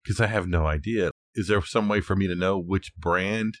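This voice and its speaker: A man's voice: deep voice, moderate pace, no room sound, studio quality. A man co-hosting a podcast, talking and telling stories in an informal, easygoing, conversational way. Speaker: podcast co-host. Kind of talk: casual conversational storytelling and discussion.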